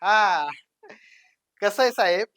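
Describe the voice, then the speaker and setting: A woman playing a brazen, menacing village gangster in a dramatic monologue, with a rural western Maharashtra accent and a taunting, swaggering manner that swings between hushed and loud. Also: mid-range voice, takes it slowly. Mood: menacing, boastful. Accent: rural western Maharashtra Marathi.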